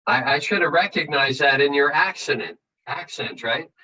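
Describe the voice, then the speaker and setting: Bright-voiced male host, far from the mic. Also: on the high side, moderate pace, breathy edge. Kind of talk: casual video call chat. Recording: distant, dull video call audio.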